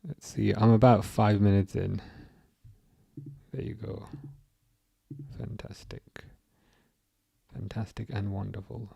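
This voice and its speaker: A man's voice: on the low side, flat delivery, slow pace, creaky, pauses often, mellow, breathy edge, no echo. A young man from England with an English accent, hosting a casual football livestream and chatting with viewers in a rambling way, full of restarts. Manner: rambling, repeats words and restarts, reads chat aloud. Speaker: livestream host.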